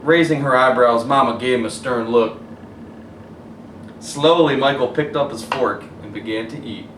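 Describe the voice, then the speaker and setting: A man reads a children's picture book aloud in the animated manner of a teacher. He sounds away from the microphone in an echoey room, with faint noise around him. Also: medium pitch, normal speed. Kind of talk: animated children's story read-aloud, pausing to show pictures. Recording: roomy echo, distant mic, faint noise.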